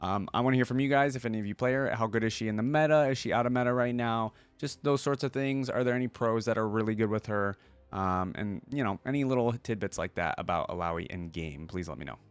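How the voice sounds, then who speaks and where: A man's voice: on the low side, quick pace, smooth, no echo. A man giving casual, off-the-cuff reaction commentary as the host of a reaction video.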